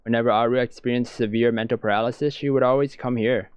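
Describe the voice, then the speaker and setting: A man's voice: mid-range voice, steady tone, fast talker, clear, resonant tone, dry recording. A man reading visual novel narration aloud, as in a let's-play. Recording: dull lo-fi sound, music behind.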